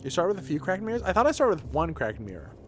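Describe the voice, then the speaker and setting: Animated higher-voiced man rambling on stream. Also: fast talker, a little hiss or hum. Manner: swearing, sardonic. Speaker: game livestreamer. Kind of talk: casual rambling stream commentary.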